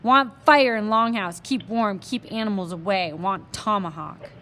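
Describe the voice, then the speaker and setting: A woman's voice: mid-range voice, a little slow, a bit bright, a little hiss or hum. A woman voicing a small, stern warrior man who talks in clipped, broken short phrases. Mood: demanding.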